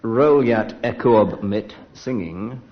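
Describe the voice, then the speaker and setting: A man performing as a radio comedy panellist, delivering verse and banter with a deadpan, joking manner. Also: fairly low pitch, takes it slowly. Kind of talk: comic verse and banter before an audience. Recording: muffled, very noisy vintage radio recording.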